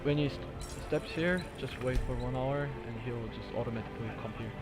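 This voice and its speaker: A man from Korea with a Korean accent, casually talking through a video game glitch, halting and searching for words in English. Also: medium pitch. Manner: halting, with fillers and restarts, laughs now and then. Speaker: gamer recording a tutorial. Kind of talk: casual gameplay commentary. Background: game music and sounds.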